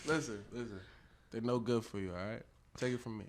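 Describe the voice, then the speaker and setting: A man's voice: mid-range voice, fairly even intonation, a little fast. A man in his twenties, a podcast guest, teasing and playful in casual chat. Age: 20s.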